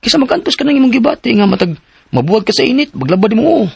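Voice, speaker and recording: A man's voice: very high voice, very animated, very fast, creaky, a little mellow, breathy edge, no room sound, full character voice. A man with a Cebuano accent playing a practical young schoolmate in a radio drama. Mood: practical, concerned.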